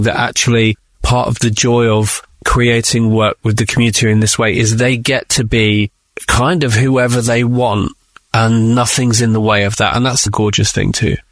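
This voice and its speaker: A man's voice: medium pitch, dry recording, clean studio sound. A man, a writer being interviewed, talking in a thoughtful, enthusiastic, conversational way.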